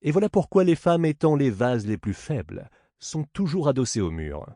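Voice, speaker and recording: A man's voice: mid-range voice, big pitch swings, normal speed, mellow, no room sound, studio quality, voice pushed up, close to an everyday voice. A man voicing a swaggering, crude servant in a stage play who brags and blusters, spoiling for a fight. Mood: crude bragging.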